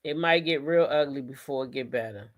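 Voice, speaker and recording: A woman's voice: a bass voice, brisk. An American woman doing casual live gossip commentary as host, sassy and emphatic, often repeating phrases for emphasis.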